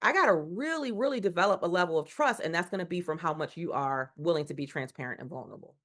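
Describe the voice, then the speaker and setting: A woman's voice: on the low side, lively intonation, quick pace, a bit bright. A woman guest in a conversational interview, talking earnestly and emphatically, building points through repeated phrases. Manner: earnest, emphatic, preacher-like repetition. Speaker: guest speaker.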